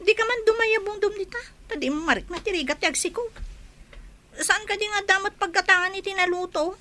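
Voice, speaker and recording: A woman's voice: very high voice, a little fast, pushed and bright. A woman playing a blunt, complaining village woman in a radio drama, scolding and direct. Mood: grumbling. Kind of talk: Ilocano radio drama acting.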